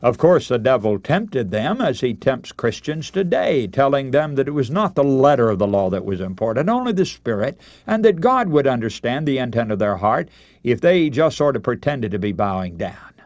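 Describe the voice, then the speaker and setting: Animated male radio preacher, dramatic and emphatic. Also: mid-range voice, normal speed, dry recording. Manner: emphatic oratory, rhetorical questions, addresses listeners as friends. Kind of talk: radio sermon, dramatic Bible storytelling.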